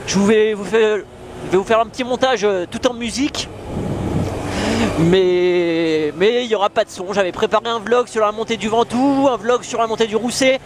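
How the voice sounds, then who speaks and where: A man's voice: high voice, moderate pace. A young man chats casually in slang, hesitating and thinking aloud as a motorcycle vlogger. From France. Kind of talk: casual chat. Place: outdoors on a moving motorcycle. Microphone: helmet intercom microphone. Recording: clear vehicle and wind noise, helmet intercom.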